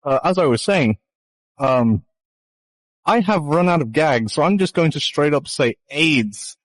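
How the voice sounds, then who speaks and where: A man's voice: on the high side, animated, a little slow, no echo, rough recording. A man improvising a comedy puppet show in mock showman patter, rambling and swearing crudely. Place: online VR chat session.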